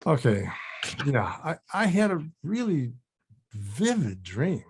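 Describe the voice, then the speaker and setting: A man playing an anxious, sheepish actor with a husky voice in a comedy table read. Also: mid-range voice, sing-song, slow pace, a little mellow, heavily acted. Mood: hesitant, sheepish. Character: anxious, awkward adult male actor, defensive and sheepish.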